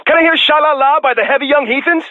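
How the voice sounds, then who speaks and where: A man's voice: very high voice, fast talker, dry recording. An actor playing a dim, fawning young male caller to a game radio station, casual and slangy, over a tinny phone line. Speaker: radio call-in listener.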